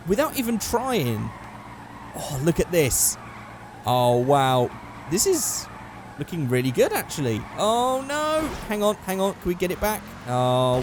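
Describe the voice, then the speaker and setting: A man with a hoarse, worn-out voice giving casual commentary over a driving game, getting excitable at close calls. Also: on the high side, background noise. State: hoarse, losing his voice. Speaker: gaming channel host.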